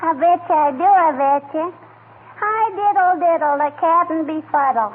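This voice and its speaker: Bratty little girl, super high squeaky voice, old radio comedy, tinny and hissy. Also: moderate pace. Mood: teasing. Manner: repeats a catchphrase, stubborn, interjects puzzled hums. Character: cheeky, contrary, inquisitive little girl. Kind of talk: 1940s radio comedy acting.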